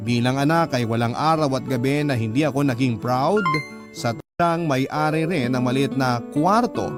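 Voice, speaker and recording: A man's voice: medium pitch, moderate pace, music in the background, lightly acted. A man with a Filipino accent narrating a hard life story as a scripted radio letter, sincere and reflective.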